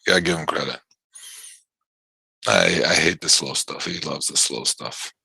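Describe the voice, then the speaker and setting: A man hosting a live trading chat on a video call, his voice worn from yelling, rambling and stammering through repeated words. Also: a bass voice, normal speed, no room sound. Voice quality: gravelly and husky. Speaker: trading show host.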